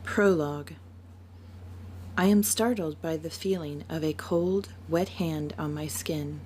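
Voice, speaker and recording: A woman writer speaking carefully and deliberately, like an amateur reading her own work aloud. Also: deep voice. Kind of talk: amateur announcement and audiobook narration. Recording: clear steady background noise.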